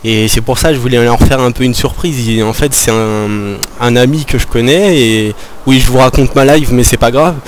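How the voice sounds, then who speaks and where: A man's voice: medium pitch, normal speed, hardly any pauses. A young man making a prank phone call in a casual, rambling, hesitant way. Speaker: prank caller. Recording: noisy amateur recording, background hum.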